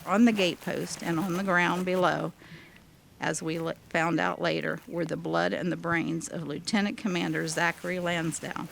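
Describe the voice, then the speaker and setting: A woman acting a storyteller looking back on her past in an audio drama. Her voice is creaky and measured, unfolding the memories deliberately. Clear noise sits behind the recording. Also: fairly low pitch, little pitch movement, rough recording. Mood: solemn recollection. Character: older woman recalling the airship wreck she witnessed. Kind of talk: scripted first-person narration.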